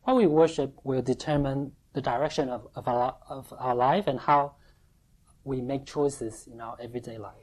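Woman preaching, super low voice, choppy short phrases w pauses for translator. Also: fairly even intonation, darkish tone, rough recording. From Taiwan. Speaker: preacher giving testimony. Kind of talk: sermon with line-by-line interpretation.

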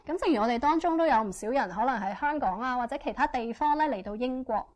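Woman, church interpreter, rapid delivery, higher voice, fluent, frequent fillers. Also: expressive. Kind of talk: consecutive interpretation.

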